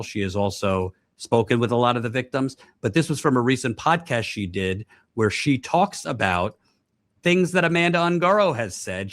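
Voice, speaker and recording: A man hosting a news commentary show, speaking deliberately and repeating points for emphasis. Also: medium pitch, no room sound, studio quality. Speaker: host, commentator. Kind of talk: news commentary to camera, reading out quotes.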